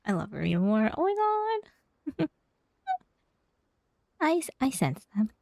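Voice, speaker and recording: Gushing woman streamer with a creaky voice. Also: high voice, wildly swooping pitch, slow pace, a little mellow, no echo, clean studio sound. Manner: gushing, affectionate. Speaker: streamer reading along with a game. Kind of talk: casual gameplay commentary.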